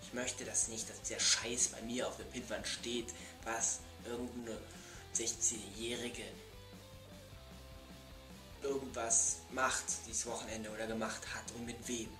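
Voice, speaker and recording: A man's voice: medium pitch, flat delivery, a little slow. A young adult man delivering an emphatic, exasperated comedic vlog rant in a bedroom, repeating himself for effect. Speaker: video blogger. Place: bedroom, indoors. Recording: echoey room, music behind.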